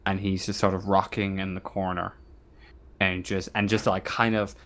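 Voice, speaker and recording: A man acting as game master, narrating a tabletop roleplaying game casually and haltingly. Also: deep voice, little pitch movement, fairly bright tone. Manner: halting, feeling out descriptions as he goes.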